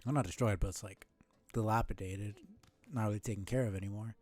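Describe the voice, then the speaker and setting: A man's voice: on the low side, steady tone, quick pace, a little mellow. A man streaming a game live, thinking aloud casually, often correcting himself and swearing mildly. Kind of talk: casual live gameplay commentary.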